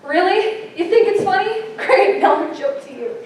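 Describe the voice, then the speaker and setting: A woman plays a sulky, wounded preteen girl with a high voice, full of self-doubt, in a staged musical reading. She is heard from far off in a very echoey room. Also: expressive, moderate pace, a little mellow. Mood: hurt, angry. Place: live staged reading in a hall. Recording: roomy stage recording from far off, faint noise.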